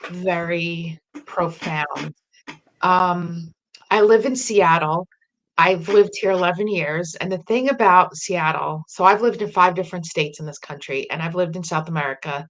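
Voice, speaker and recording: A woman raised in New Jersey, talking in an animated, emphatic way in casual conversation. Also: lowish voice, a little slow, slight background noise, rough recording.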